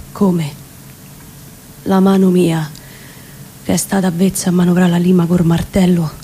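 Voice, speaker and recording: A woman with a Roman accent playing a distraught working-class man confessing in dramatic dialect verse, pleading and halting. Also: fairly low pitch, mellow, low-quality recording. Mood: sombre confession. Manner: pleading, halting, emotive delivery. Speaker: reciting actress. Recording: live radio performance with background noise.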